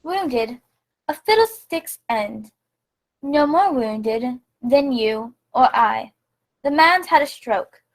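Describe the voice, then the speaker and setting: A woman's voice: sits high, animated, slow, exaggerated voice. A woman playing a blunt, stern male doctor in a radio play. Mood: stern, scolding.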